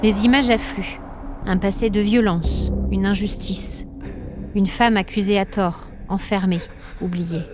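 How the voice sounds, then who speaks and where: A woman's voice: mid-range voice, fairly even intonation. Suspenseful woman storyteller over music. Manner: measured, suspenseful, short weighted phrases. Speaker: storyteller, podcast narrator. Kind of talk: scripted dramatic narration.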